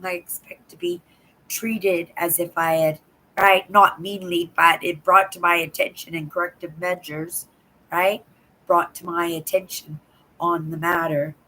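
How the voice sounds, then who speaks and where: An older woman from Canada hosting a livestream, chatting casually with viewers in a hoarse voice, rambling and tagging "right?" onto her sentences. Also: lowish voice, sharp tone, poor audio. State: hoarse from a sore throat. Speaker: livestream host. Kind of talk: casual live chat to viewers.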